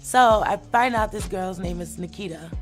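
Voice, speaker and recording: A woman's voice: medium pitch, normal speed. A young woman with a New York accent, a model, chatting casually and laughing easily in a reality show scene and confessional. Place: bar or restaurant. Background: music.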